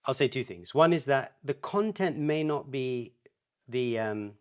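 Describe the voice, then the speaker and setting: A man's voice: medium pitch, lively intonation, unhurried, clear, resonant tone. A man answering parenting questions in a live online session, thoughtful and hesitant, with frequent restarts and fillers.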